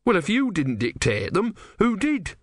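A man's voice: high-pitched, wildly swooping pitch, unhurried, no room sound, studio quality, pitched above the performer's own voice, exaggerated voice. A man voicing an earnest, devout young believer who is insistent and easily outraged, in a storybook reading. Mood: indignant. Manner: insistent, indignant, pious.

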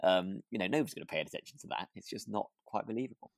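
A man chatting casually as co-host of a relaxed podcast. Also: on the low side, racing, vocal fry, no room sound. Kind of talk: relaxed casual chat about a radio drama.

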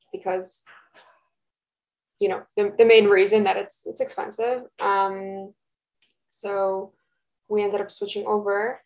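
A woman's voice: medium pitch, gravelly, darkish tone. A young woman, Russian her first language, answering interview questions in a hesitant, thoughtful way with many fillers, at home. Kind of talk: casual interview. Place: home, roommate nearby. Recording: dull lo-fi recording.

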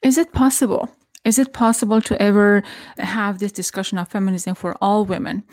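A woman's voice: mid-range voice, steady tone, fairly quick, darkish tone, dry recording. A woman from Iran hosting an interview, speaking English in an earnest, searching way. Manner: earnest, searching, non-native phrasing. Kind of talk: conversational interview.

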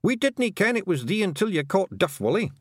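A man's voice: sits high, big pitch swings, brisk, dry recording, studio quality, voice pushed up. A man doing a tiny, brash Scottish pixie chief. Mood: cheeky. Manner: brash and wheedling. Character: tiny fierce male pixie clan chief.

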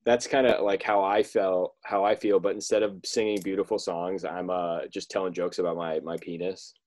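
A man's voice: low voice, little pitch movement, normal speed, darkish tone. A young man hosting a casual podcast over a video call, rambling and joking as he recaps a movie. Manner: rambling, joking, laughs often. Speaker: podcast host.

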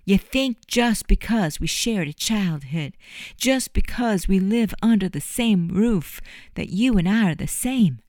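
A woman's voice: mid-range voice, expressive, a little slow, darkish tone, no echo, pitched above the performer's own voice, natural voice. A woman voicing a proud young servant woman who speaks in folksy dialect, in an audiobook. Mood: bitter. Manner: impassioned, folksy dialect speech. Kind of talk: audiobook character voice.

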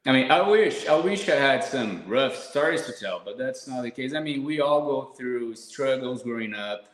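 A man's voice: medium pitch, lively intonation, normal speed, roomy sound, low-quality recording. A man from Brazil, a podcast guest, talking casually and modestly, often restarting his words. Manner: modest, hesitant, repeats words.

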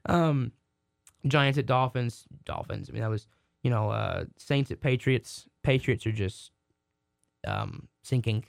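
This is a man co-hosting a casual sports podcast, his voice hoarse from a cold. He rambles and restarts his sentences in a mid-range voice. The recording is dry and close. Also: mid-range voice, big pitch swings, measured pace, no echo. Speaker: podcast co-host.

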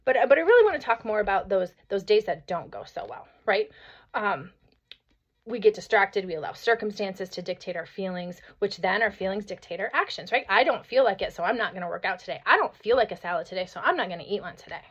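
A woman in her thirties or forties hosting a motivational podcast, hoarse from a cold, talking energetically and often asking "right?". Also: mid-range voice. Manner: energetic, chatty, peppered with "right?" and "like". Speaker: podcast host. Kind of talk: motivational solo podcast talk. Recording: slightly dull lo-fi audio.

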